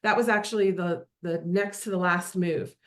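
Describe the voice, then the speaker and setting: A woman's voice: on the low side, fairly even intonation, normal speed. A woman in her fifties, a guest in a casual interview, friendly and laughing often, with frequent ums and restarts. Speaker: guest, realtor.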